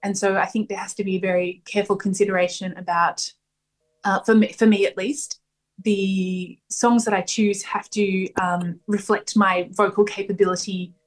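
A woman folk singer answering interview questions thoughtfully, pausing often with fillers. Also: mid-range voice, flat delivery, rough recording. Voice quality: strong voice. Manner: thoughtful, hesitant with many ums. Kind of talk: radio interview, casual answers.

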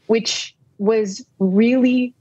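A woman's voice: a little high, steady tone, drawn-out words, darkish tone, husky, no echo. An American woman journalist who grew up speaking Arabic first, telling a candid personal story in an archival interview clip. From United States. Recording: dull archival radio clip.

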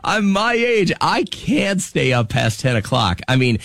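A man's voice: on the high side, sing-song, measured pace, vocal fry, fairly bright tone, no room sound, clean studio sound. A man in his fifties co-hosting a morning radio show, chatting casually and laughing easily. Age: 50s. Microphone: studio microphone.

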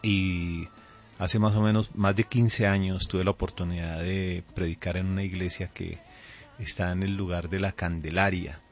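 A middle-aged man with a Colombian accent, speaking as a pastor in a radio interview in a deliberate, earnest way. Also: sits low, level tone, soft background music. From Colombia, raised there from age two. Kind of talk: radio interview, conversational testimony. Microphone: studio microphone. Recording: dull, band-limited radio audio.

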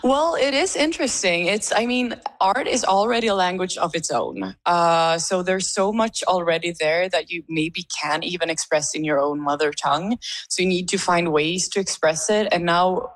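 Woman, low voice, searching for words. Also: normal speed. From Sweden. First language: Swedish. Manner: thoughtful, searching for words with many restarts. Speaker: art student in a summer program. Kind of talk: casual interview answers.

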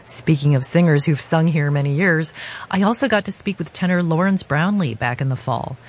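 A woman's voice: deep voice, normal speed, darkish tone, husky. A woman hosting a radio broadcast, moving between scripted links and interview questions.

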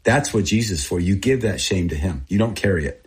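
Man, 60s, raised in Thailand, native Thai speaker. Earnest, confessional interview storytelling, husky and on the low side. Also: expressive, fast. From born in Texas, grew up in Thailand. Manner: earnest, confessional, short plain phrases. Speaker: interviewee, missionary aid group founder.

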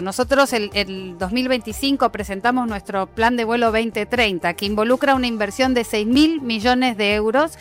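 A middle-aged woman, an airline sales director, answering in a measured business interview with occasional fillers and restarts. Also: fairly high pitch, a bit bright. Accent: Mexican Spanish with a foreign tinge.

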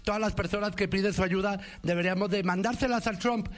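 High-pitched, insistent male radio co-host. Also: steady tone, quick pace. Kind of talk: opinionated political debate.

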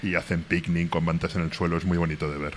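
A man talks easily in a casual conversation. He tells relaxed anecdotes and drifts along one thought to the next. Faint ambience hums behind him. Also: low voice, quick pace, slight background noise.

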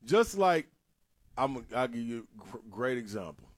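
Animated, slangy man on sports radio. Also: medium pitch, normal speed, no room sound. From Nashville area. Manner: animated, slangy, laughs a lot. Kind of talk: casual sports talk.

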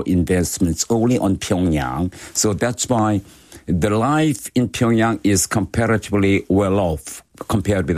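A middle-aged man from North Korea with a Korean accent, answering interview questions in a measured way, with many "uh" and "you know" fillers. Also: lowish voice, a little mellow, no echo, clean studio sound. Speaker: guest, former diplomat and lawmaker.

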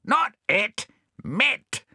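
A man voicing a blunt, hot-tempered male adventurer who yells when he gets impatient. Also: a little high, very animated, normal speed, vocal fry, slightly rough, dry recording, clean studio sound, pitched above the performer's own voice, full character voice. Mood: impatient, roaring. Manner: loud, impatient.

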